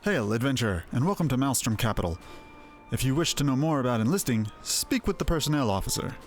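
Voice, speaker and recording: A man's voice: medium pitch, big pitch swings, fast talker, lightly acted. A man playing a dutiful military commander in a fantasy video game, with a stiff, formal way of addressing people. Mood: businesslike. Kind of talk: scripted fantasy game acting. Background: faint music.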